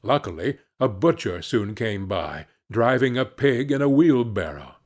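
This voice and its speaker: A male narrator reads a children's fairy tale in a middle-pitched voice. His delivery is slow and deliberate, with plenty of rise and fall in the storytelling. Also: big pitch swings.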